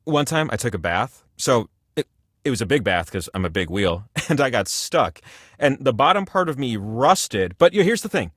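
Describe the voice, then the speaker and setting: A man's voice: mid-range voice, big pitch swings, moderate pace, a bit bright, no echo, clean studio sound. A man voicing a giant, ancient talking wheel in a video game, a jovial, grandiose and needy godlike host with a chatty, theatrical delivery. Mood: chatty, cheerful. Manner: chatty, theatrical, swings between gushing and mumbled asides. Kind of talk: comic video game character monologue.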